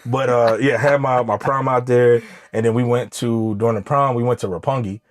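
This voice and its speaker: A man guesting on a podcast, relaxed and slangy, often backing up the host with quick yeps. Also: medium pitch, slight background noise. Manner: relaxed, slangy, frequent affirmations. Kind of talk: casual podcast conversation.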